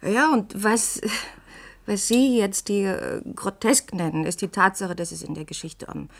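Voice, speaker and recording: An actress playing an earnest, thoughtful woman in a German radio play, speaking in a hesitant, deliberate and gently insistent way. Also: medium pitch, gravelly.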